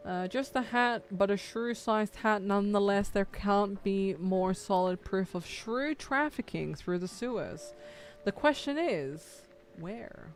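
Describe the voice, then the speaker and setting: A woman streamer chatting casually with her viewers while playing a game, chatty and animated. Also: mid-range voice, slow pace, soft background music. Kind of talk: casual gaming livestream chat.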